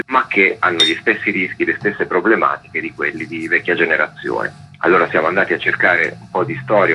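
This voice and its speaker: Deep-voiced earnest man, muffled line. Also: sharp tone. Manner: fluent, earnest, advocating. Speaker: author, guest. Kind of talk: radio interview, explanatory.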